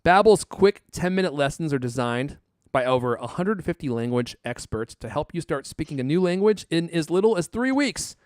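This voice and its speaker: Animated, high-pitched man hosting a podcast. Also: moderate pace, clean tone, no room sound, clean studio sound. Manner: jokey, teasing, theatrical emphasis. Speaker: podcast host. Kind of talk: casual chat and ad reads.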